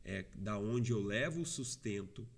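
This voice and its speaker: A man's voice: fairly low pitch, lively intonation, measured pace, creaky. Earnest middle-aged man explaining at length. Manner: earnest, explanatory, many fillers like "né". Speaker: trucking company owner, guest. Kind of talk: casual interview chat.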